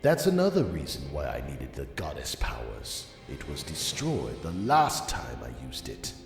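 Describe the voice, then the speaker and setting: Male villain warlord for fantasy audio drama: taunting, drawn-out, huge swings of pitch, reverberant with music behind. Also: wildly swooping pitch, vocal fry, exaggerated voice. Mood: menacing, triumphant. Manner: taunting, theatrical, drawn-out delivery. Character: gloating male villain warlord with clawed hands. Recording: echoey long reverb, music underneath.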